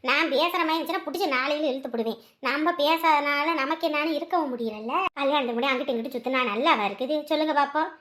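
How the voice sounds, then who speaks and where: A woman's voice: sits high, very fast, few pauses, fairly bright tone. A woman playing a gossipy, scolding mother-in-law in a family drama, with a rural Tamil Nadu accent, complaining through rhetorical questions. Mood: scandalised gossip. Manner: complaining, rhetorical questions, rustic turns of phrase.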